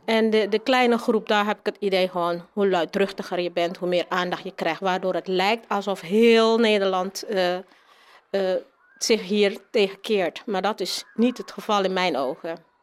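A woman with a mid-pitched voice talks as an interviewed teacher. She speaks off the cuff, often restarting and repeating words as she goes. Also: moderate pace. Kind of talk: spontaneous interview answers.

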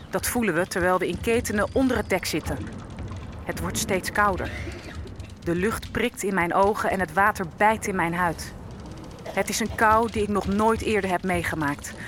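A woman's voice: medium pitch, background noise, close to an everyday voice. An actress playing a grieving enslaved African woman held on a ship, telling her story solemnly and poetically in a radio play. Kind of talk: dramatic first-person radio play narration.

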